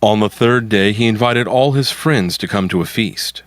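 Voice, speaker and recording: A man's voice: on the low side, normal speed, no room sound. A man narrating a folktale from a script as the storyteller.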